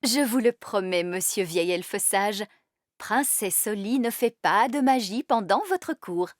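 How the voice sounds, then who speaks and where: An actress voicing a sly, reassuring fairy nanny in a children's cartoon dub, coaxing and theatrical. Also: female voice, medium pitch, animated, unhurried, dry recording, studio quality, lightly acted. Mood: feigned innocence.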